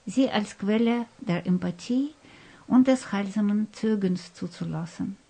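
Woman radio host reading out a script, bit halting, mid-range voice. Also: mid-range voice, creaky, warm tone, low-quality recording. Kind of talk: scripted radio presentation in German.

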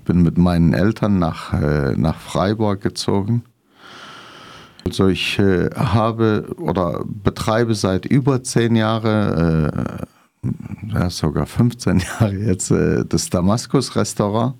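A low, gravelly man answers an interviewer's questions. He speaks hesitantly, with many fillers and grammar. His voice is dark and mellow. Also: slow. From Syria. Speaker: restaurant owner.

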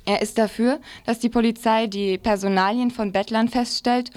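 A woman's voice: on the high side, steady tone, normal speed, darkish tone. A woman reading a scripted news commentary on the radio with a satirical slant.

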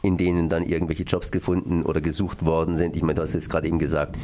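A man hosting a radio interview, relaxed and joking. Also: extremely low pitch, quick pace, noisy background. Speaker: radio host.

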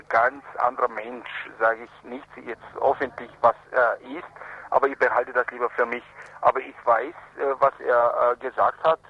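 Male activist phoning in to radio, non-native, mid-pitched and husky, gropes for words in broken grammar, muffled phone sound. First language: not German. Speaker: activist spokesman for Roma protesters, radio phone-in guest. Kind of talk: live phone interview, spontaneous report and appeal.

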